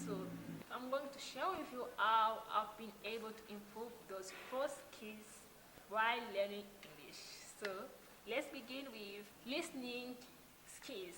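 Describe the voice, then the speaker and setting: A roomy-sounding Kiswahili-speaking woman vlogger. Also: mid-range voice. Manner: earnest, explains by restating points over and over. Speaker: language-learning vlogger. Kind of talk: casual talk to camera. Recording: roomy echo with clear background noise.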